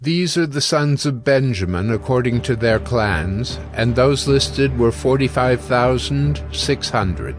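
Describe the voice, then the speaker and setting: A man narrating scripture from a script in a solemn, formal and measured way. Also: medium pitch, expressive, music in the background.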